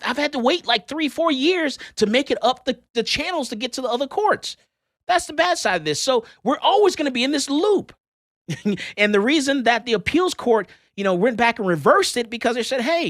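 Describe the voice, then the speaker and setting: A middle-aged man hosts a talk show and comments on the news. His pitch swings widely, and he drives his points home by repeating them. The sound is close and dry. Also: normal speed, no room sound. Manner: animated, emphatic, repeats points.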